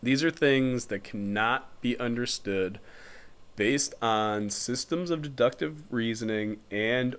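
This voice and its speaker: A man in his twenties with an American accent, giving an informal philosophy lecture in a deliberate, repetitive way and checking in with his listeners. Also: mid-range voice, a bit bright. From Connecticut, United States. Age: 20s. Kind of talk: informal explanatory lecture over slides.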